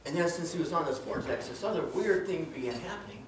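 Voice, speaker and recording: A man performs a comic tall tale with plenty of theatrical flourish. His delivery is highly animated, with wide swings in pitch. He is heard at a distance from the microphone in a very echoey room. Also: quick pace, background noise. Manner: theatrical, dramatic storytelling with exclamations and acted-out quotes. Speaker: storyteller in a tall tales contest. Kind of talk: live comedic tall-tale storytelling to an audience. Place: indoor room before a live audience.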